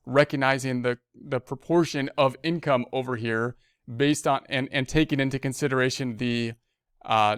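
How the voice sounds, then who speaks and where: A man's voice: mid-range voice, smooth, dry recording, clean studio sound. A man teaching as an instructor in a screencast tutorial, talking conversationally and thinking aloud, often repeating and rephrasing as he explains. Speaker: accounting instructor.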